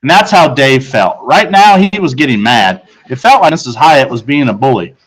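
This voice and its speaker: A man who is a teacher, narrating a children's book aloud with expressive storytelling. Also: mid-range voice, normal speed, rough recording.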